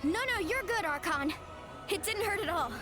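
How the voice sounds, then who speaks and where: A woman's voice: very high-pitched, expressive, moderate pace. A woman voicing a bubbly, easygoing young adventurer in a video game, with a casual, upbeat delivery. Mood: excited, reassuring. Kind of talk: dramatic game dialogue. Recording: game music behind dialogue.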